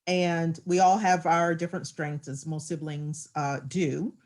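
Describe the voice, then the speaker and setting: A woman with a somewhat low voice answers a panel question in a chatty, casual way. She fills her sentences with little asides and fillers. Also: flat delivery, unhurried, darkish tone.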